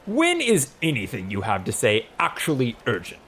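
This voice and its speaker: A man voicing a bold male god of rocks with a booming, blunt, theatrical delivery in an audiobook. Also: wildly swooping pitch, normal speed, fairly bright tone, slight background noise. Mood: exasperated.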